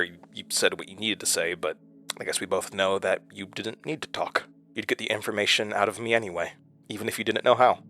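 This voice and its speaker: An actor playing a haunted, weary, wry man who confides his story haltingly in a horror audio drama. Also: on the low side, bright, forceful tone. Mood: wistful, hesitant. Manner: hesitant, confessional, halting. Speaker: lead character and narrator of a horror audio drama. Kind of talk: horror audio drama acting, narration and intimate dialogue.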